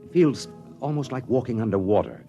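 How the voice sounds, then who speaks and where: An actor playing a thoughtful male astronaut who narrates his moon landing in a 1950s radio drama, earnest and halting. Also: mid-range voice, animated, mellow, faint music behind, close to an everyday voice. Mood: wistful. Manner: earnest, reflective, halting with small stammers. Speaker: narrator and crewman.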